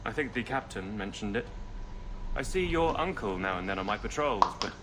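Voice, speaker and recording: A man's voice: lowish voice, lively intonation, normal speed, lightly acted. A man playing an easygoing, polite city guardsman in a fantasy game, good-natured and plain-spoken. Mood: friendly, puzzled. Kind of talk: fantasy video game acting. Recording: game audio under clear noise.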